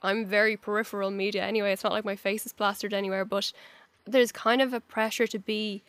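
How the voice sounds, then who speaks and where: A woman's voice: medium pitch, flat delivery, fast, a bit bright, no echo. An Irish woman hosting a podcast chat, reflective and searching for her words. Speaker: podcast host. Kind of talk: casual chat.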